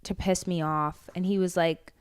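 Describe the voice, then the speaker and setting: A woman's voice: fairly low pitch, fairly even intonation, normal speed, clear, resonant tone, dry recording, studio quality. A young woman co-hosting a casual podcast, telling stories and reading messages aloud in a mocking, dramatic way. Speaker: podcast co-host. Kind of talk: casual chat, reading ex's emails aloud.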